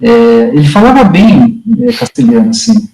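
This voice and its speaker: A man's voice: a little high, big pitch swings, moderate pace, dark tone, reverberant, low-quality recording. A man in a casual study-group discussion on a video call, talking hesitantly with many restarts and fillers. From Brazil.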